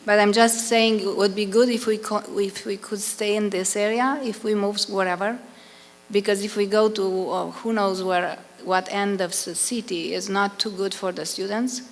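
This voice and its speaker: Romanian woman, gravelly, earnest and effusive. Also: mid-range voice, fairly even intonation, moderate pace. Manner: earnest, effusive, searching for words. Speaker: piano teacher speaking at a public meeting. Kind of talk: public comment at a consultation meeting. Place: public consultation meeting in a hall. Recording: reverberant hall, faint hum.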